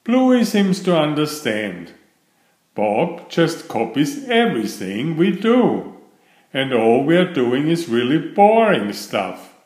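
Man, children's story reader, slow pace, lively pitch swings, deliberate delivery. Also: echoey room. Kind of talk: slow, expressive picture-book read-aloud.

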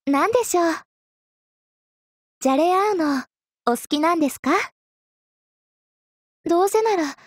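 An actress voicing a young sword maiden in an anime mobile game, polite and coy, with a teasing, possessively sweet manner. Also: female voice, sits high, no echo, clean studio sound. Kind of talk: Japanese anime mobile game character lines.

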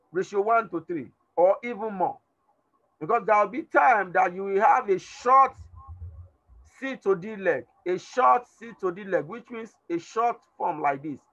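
A man with a hoarse, tired voice teaching a live online trading class, repeating himself and urging students to respond. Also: high voice, a bit bright, lo-fi sound. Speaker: trading instructor. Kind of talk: live online class over a chart screen.

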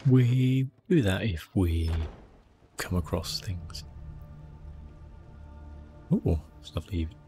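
A man giving relaxed ASMR gameplay commentary, thinking aloud with hesitant phrasing. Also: deep voice, slow, long pauses. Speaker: gaming video host. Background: soft game music.

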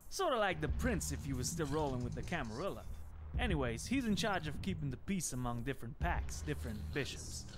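Casting: cynical vampire foot soldier for a game, higher-pitched male voice, animated, sardonic street talk. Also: fairly quick. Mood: explaining, blunt. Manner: slangy, blunt, sardonic. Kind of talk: video game dialogue. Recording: music behind the voice.

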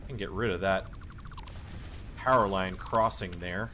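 A man casually narrating his own building game, talking to himself with wry asides and a slightly hoarse voice. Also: sits low, a little slow, slight background noise. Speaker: let's play host. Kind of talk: casual gameplay commentary. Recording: voice-over with loud game music behind.

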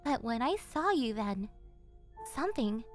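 A woman's voice: on the high side, sing-song, a little slow, faint music behind. A woman playing an obsessive, possessive young yandere girlfriend in an ASMR roleplay, with a cloying, coaxing manner that carries a sweet menace. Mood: quietly threatening. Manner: cloying, coaxing, with breathy giggles and gasps.

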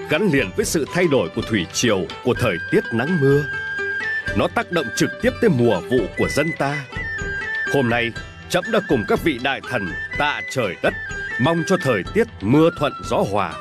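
An actor playing a solemn, benevolent king in an animated historical drama, speaking in formal, measured declamation. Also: fairly high pitch. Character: solemn, benevolent adult king addressing his ministers. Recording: music behind the voice.

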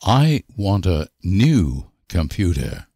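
A man reading short example sentences for language learners with deliberate, careful enunciation. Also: sits low, sing-song, warm tone, dry recording, clean studio sound. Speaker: language teacher.

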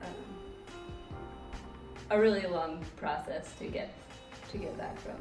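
A woman's voice: lowish voice, slow pace. A woman in her twenties with an American accent, answering casually as an interview guest. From United States. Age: 20s. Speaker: interview guest, Muay Thai fighter. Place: small hard tiled room indoors. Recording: echoey tiled room, distant mic, background music.

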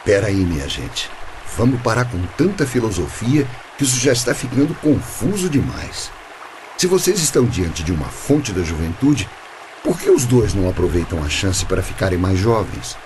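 A man's voice: fairly low pitch, sing-song, moderate pace, noisy background. A man with a Brazilian accent playing a mysterious, wry, impatient angel guide in a radio play, with theatrical formal speech. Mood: exasperated.

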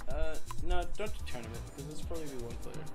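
Excitable swearing gamer guy. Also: fairly high pitch, very fast, soft background music. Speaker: let's play gamer. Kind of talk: casual gaming chat.

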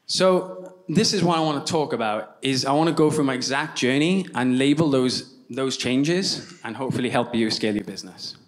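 A man's voice: medium pitch, lively intonation, moderate pace. A young man with a Welsh accent telling stories with self-deprecating humor in a keynote talk on a conference stage, speaking into a handheld mic. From Wales. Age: young adult. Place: large conference hall stage.

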